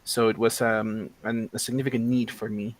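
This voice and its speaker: A man's voice: fairly low pitch, level tone, normal speed. A man in his thirties from Mexico, a guest in a casual interview, talking with many fillers and restarts. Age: 30s.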